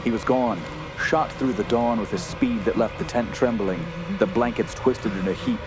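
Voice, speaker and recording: An actor playing a fearful, haunted camper, narrating a horror tale in a solemn, measured and dramatic way. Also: male voice, lowish voice, music in the background, natural voice. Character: haunted, fearful male wilderness camper telling his tale. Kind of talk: scripted first-person horror narration.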